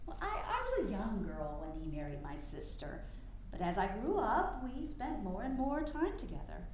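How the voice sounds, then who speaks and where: A woman's voice: medium pitch, big pitch swings, normal speed, a little mellow. A woman acting a resolute, confiding mother and suffrage campaigner in a staged period drama, speaking earnestly in formal phrasing. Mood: wistful confession. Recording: very echoey room with faint noise.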